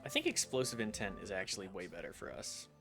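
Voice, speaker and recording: A man's voice: sits low, huge pitch swings, fast, creaky. Man chatting over game music. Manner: reflective, talks to chat while playing. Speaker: streamer. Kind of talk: casual gameplay stream chat. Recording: game music playing behind.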